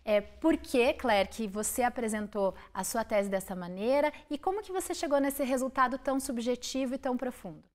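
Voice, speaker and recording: A woman's voice: fairly high pitch, normal speed, hardly any pauses. A woman hosting an interview, asking long, carefully framed questions of her guests. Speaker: interviewer host.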